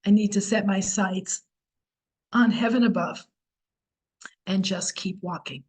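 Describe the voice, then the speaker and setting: A woman's voice: mid-range voice, measured pace, darkish tone, husky. A woman teaching a Torah class on a video call, explaining earnestly while her voice is going. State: losing her voice.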